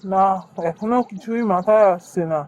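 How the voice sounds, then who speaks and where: A woman's voice: medium pitch, moderate pace, a little mellow, no echo, lightly acted. A woman voicing a polite, hesitant young newlywed husband in a Bengali cartoon story. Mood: worried. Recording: dull cartoon audio with music.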